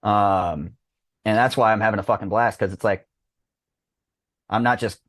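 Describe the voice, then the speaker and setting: Excited, slangy man in his thirties. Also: lowish voice, moderate pace, dry recording, clean studio sound. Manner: enthusiastic, slangy, rambling. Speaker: podcast guest, disc golf business owner. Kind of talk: casual excited chat, heavy swearing.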